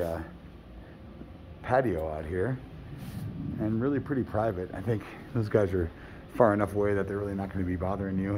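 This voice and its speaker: A man giving a casual, off-the-cuff property walkthrough in a low-key, matter-of-fact way, as if thinking aloud. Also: darkish tone. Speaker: real estate agent giving a property walkthrough. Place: walking through a condo, briefly outdoors. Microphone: handheld phone at arm's length. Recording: handheld phone recording with background noise.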